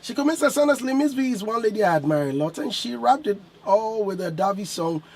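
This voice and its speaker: A man's voice: high voice, animated, brisk, darkish tone, a little hiss or hum. A man hosting a morning radio show, playful, reading out listener messages to his guest. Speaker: radio host.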